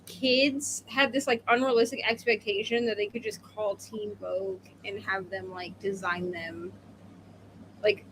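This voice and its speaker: A woman's voice: mid-range voice, fairly even intonation, a little slow, slight background noise, low-quality recording. A woman in her thirties co-hosting a casual book discussion, talking in a rambling, opinionated way with emphatic asides. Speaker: co-host of a book readalong live show.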